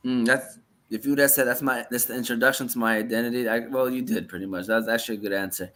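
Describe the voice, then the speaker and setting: Fast-talking bright-voiced male podcast host. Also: mid-range voice, steady tone. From Michigan, United States. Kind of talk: casual podcast hosting.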